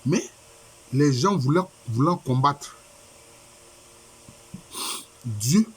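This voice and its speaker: A husky-voiced man preaches with a head cold, and his voice sounds rough and a little stuffy. He hammers his points home by repeating them and asking rhetorical questions. His pitch sits at a middling level. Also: animated, takes it slowly. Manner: repetitive, emphatic, rhetorical questions. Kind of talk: sermon by video conference.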